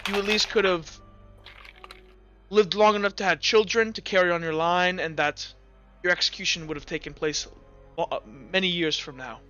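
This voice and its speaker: A man's voice: sits high, steady tone, moderate pace, bright, forceful tone, highs cut off, soft background music, voice pushed down. A man voicing a stern female judge in a tabletop game, speaking in a solemn, commanding way. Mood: grave. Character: stern female judge passing a death sentence.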